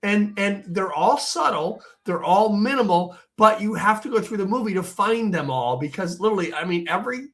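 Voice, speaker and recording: A man from Pennsylvania with a raspy, hoarse voice after being sick, co-hosting a podcast in rambling casual chat. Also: sits high, expressive, moderate pace, few pauses, fairly bright tone. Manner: rambling, repeats himself. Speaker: podcast co-host.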